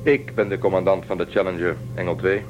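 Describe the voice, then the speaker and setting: A man's voice: low-pitched, little pitch movement, fast talker, noisy background, lightly acted. A man playing a firm, stubborn male starship commander in a radio drama. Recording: dull lo-fi sound with music.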